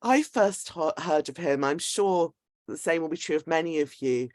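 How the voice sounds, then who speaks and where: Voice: medium pitch, normal speed, no echo. A host giving a semi-scripted introduction of a speaker to an audience.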